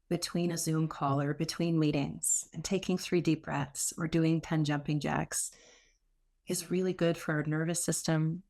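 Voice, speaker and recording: A woman teaching meditation, giving gentle, soothing spoken instruction with unforced phrasing and long pauses. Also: low-pitched, gravelly.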